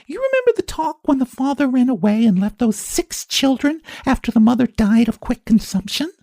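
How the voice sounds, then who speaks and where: A man voicing a lively, vain, sweet-talking older woman with a very high, fluttery voice in a storybook reading. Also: no echo, full character voice. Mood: sweet teasing. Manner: sweetly teasing, quick.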